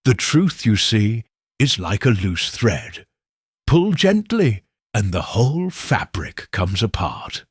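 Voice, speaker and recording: A man voicing a shrewd elderly village spinster, speaking in a gentle, probing, knowing way. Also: fairly low pitch, big pitch swings, slow, slightly rough, dry recording, clean studio sound, heavily acted. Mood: shrewd musing.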